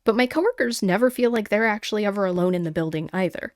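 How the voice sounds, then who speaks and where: A woman podcast host reading listeners' stories aloud in a casual, conversational way with animated personal asides. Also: medium pitch, fast, dry recording, clean studio sound. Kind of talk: casual chatty storytelling with read-aloud emails and asides.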